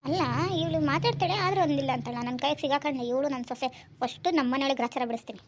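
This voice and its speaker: A woman playing a nagging middle-aged mother-in-law who scolds loudly and grumbles to herself. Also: sits high, very fast, a little hiss or hum, natural voice. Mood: scolding.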